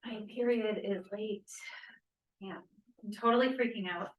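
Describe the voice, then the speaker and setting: A woman's voice: mid-range voice, a little slow, highs cut off, lightly acted. A woman acting an anxious, candid young college feminist in a stage play table read. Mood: worried. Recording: echoey room, distant mic.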